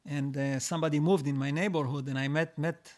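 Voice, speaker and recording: A man hosting a live stream, reading out viewer comments and answering them in a halting way, with many restarts and repeated words. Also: on the high side, fairly even intonation, darkish tone. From Israel. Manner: reads out comments, then answers.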